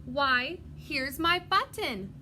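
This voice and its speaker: A small toy bear voiced by a woman reading aloud speaks in a fairly high voice. The storybook bear is innocent, wistful and easily amazed. There is some noise behind the voice. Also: expressive, extremely slow, bright, forceful tone, heavily acted. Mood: delighted. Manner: naive, wondering.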